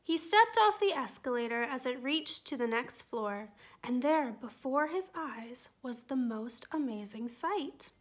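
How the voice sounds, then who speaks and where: A woman reading a children's picture book aloud, gentle and expressive. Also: sits high, a little slow, few pauses. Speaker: storytime reader.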